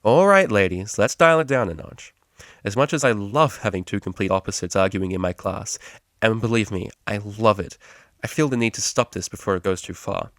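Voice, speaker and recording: A man's voice: lowish voice, moderate pace, fairly bright tone, dry recording. Man acting out male pony tutor, storybook character, easygoing, enthusiastic, patient explainer, dry recording. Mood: placating.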